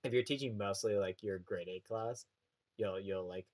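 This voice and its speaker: A young man with a Canadian prairie accent, chatting casually on a podcast. His voice sits low, and his talk wanders through fillers and restarts. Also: moderate pace, a little mellow. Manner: rambling, many fillers and restarts. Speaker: teacher.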